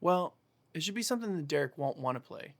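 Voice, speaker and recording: A man playing a calm, curious young housemate in a naturalistic audio drama, speaking in a measured, casual way. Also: on the high side, vocal fry, no echo. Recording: music under the dialogue. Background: music.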